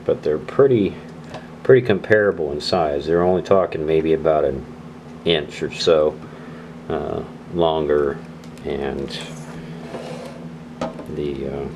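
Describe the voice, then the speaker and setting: Casting: low-voiced male hobbyist, casual hands-on demo talk, rambling and hesitant, clearly noisy recording. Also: slow pace, long pauses, highs cut off. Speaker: radio hobbyist reviewer. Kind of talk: casual unscripted product demonstration.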